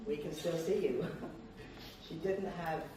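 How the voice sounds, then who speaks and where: Older male speaker, Australian accent, conversational lecture in short halting phrases, distant mic in a noisy, reverberant hall. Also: a little high, steady tone. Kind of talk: informal public talk.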